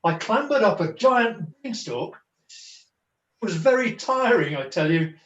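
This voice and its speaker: A weary, melodramatic pantomime jester played by a man, high-pitched and declamatory. He takes his time over flowery old-fashioned phrases, recorded in an echoey room on a video call. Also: expressive, measured pace, fairly bright tone, dull audio. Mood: comic, courtly. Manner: theatrical, flowery, mock-archaic, declamatory. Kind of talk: comic pantomime dialogue.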